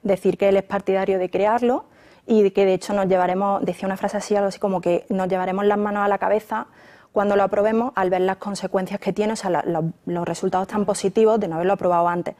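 A woman around thirty, a pregnant journalist, answering interview questions in a thoughtful way, with many pauses and restarts. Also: medium pitch, steady tone, warm tone. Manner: thoughtful, with frequent fillers and restarts.

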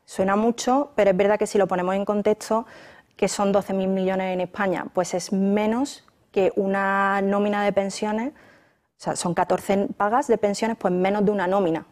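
A woman around thirty, a pregnant journalist, answering interview questions in a thoughtful way, with many pauses and restarts. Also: medium pitch, fairly even intonation, a little mellow. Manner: thoughtful, with frequent fillers and restarts.

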